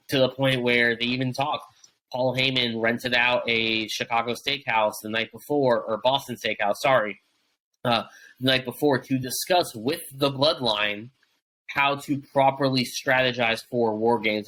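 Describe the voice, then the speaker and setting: A young man co-hosting a podcast, talking in a loose, rambling way full of "um"s and false starts. His voice is bright and forceful, sitting at an ordinary pitch for a man. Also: rough recording. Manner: rambling, many fillers and restarts. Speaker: podcast co-host. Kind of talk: casual wrestling podcast chat.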